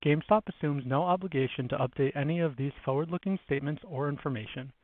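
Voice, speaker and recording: A man's voice: fairly high pitch, quick pace, no room sound. A man reading the formal legal disclaimers and introducing the chief executive on a conference call. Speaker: call operator introducing the speaker. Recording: dry phone-line conference audio.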